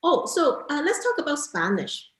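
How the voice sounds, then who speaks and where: Lady asking questions, highish voice, expressive and giggly, casual chat. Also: normal speed, roomy sound. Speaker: interviewer, travel vlogger.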